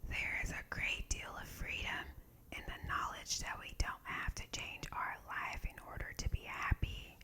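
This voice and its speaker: A woman reading meditations aloud in a soft, breathy, unvoiced whisper, in ASMR style with deliberate phrasing.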